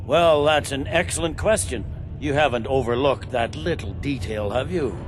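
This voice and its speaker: An actor playing a pragmatic military general in a fantasy game, brisk, authoritative and sceptical. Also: male voice, medium pitch, faint music behind, close to an everyday voice. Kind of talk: fantasy video game voice acting.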